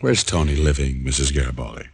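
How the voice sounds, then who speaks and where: An actor playing a cool, persistent private detective with an American accent, dry and direct, in vintage radio noir style. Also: male voice, rumbling low, quick pace, creaky, slightly rough, dry recording, full character voice. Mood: persuasive. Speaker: lead actor and first-person narrator. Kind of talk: 1940s-50s radio noir acting and narration. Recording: old radio broadcast with faint music.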